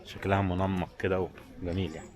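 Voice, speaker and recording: A man's voice: deep voice, level tone, quick pace, a little mellow. A man with an Egyptian Arabic accent chatting informally to viewers as a travel vlogger, in a casual walking style. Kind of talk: casual walking vlog chat, talking to viewers. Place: mostly outdoors, walking through a tourist village. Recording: outdoor tourist spot with crowd noise. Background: people's voices.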